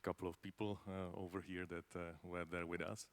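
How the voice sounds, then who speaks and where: Czech-accented man, deep voice. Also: level tone, brisk. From Czech Republic. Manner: conversational, many fillers, tag questions. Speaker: panelist from a space agency. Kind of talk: conference panel answer.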